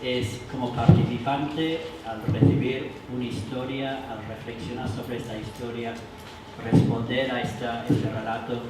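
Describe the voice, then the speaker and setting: A man with a foreign accent, not a native speaker, leading a church workshop for adults, halting with many fillers and restarts. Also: medium pitch, fairly even intonation. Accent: foreign accent in Spanish. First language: not Spanish. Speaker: workshop leader. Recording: roomy hall, mic from far, noisy.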